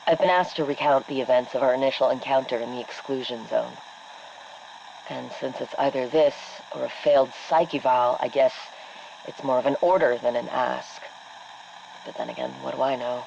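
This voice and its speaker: A woman playing a shaken, sardonic army helicopter captain, giving a halting, bitter debrief for a game audio log. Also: extremely low pitch, steady tone, creaky, a little mellow, dull audio, slight background noise. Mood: weary, sardonic. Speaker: military helicopter exfil pilot.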